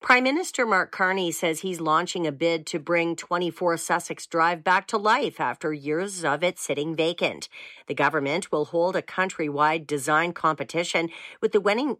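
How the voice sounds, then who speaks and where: A woman reading a scripted newscast in an even, measured way. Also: low-pitched, dry recording, studio quality. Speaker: newsreader.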